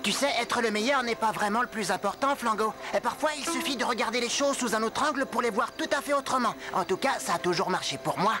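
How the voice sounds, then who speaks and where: An easygoing young cartoon creature, voiced by an actor, earnest and helpful. The delivery is plain-spoken French dubbing with clear music behind it. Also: medium pitch, fast, few pauses, close to an everyday voice. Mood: reassuring.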